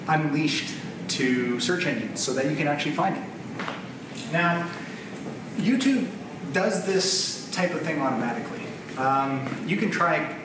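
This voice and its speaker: A man in his thirties with a Canadian accent, giving an informal, explanatory presentation and thinking aloud in a conversational way. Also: on the high side. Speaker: software developer presenting a product. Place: large open office loft, indoors. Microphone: camera mic a few metres away. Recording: echoey open room, distant camera mic, noisy. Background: clear background room noise.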